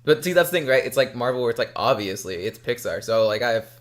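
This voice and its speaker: A young man co-hosting a casual podcast chat, joking and chatty with quick asides. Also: mid-range voice, lively intonation. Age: young adult. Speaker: podcast co-host.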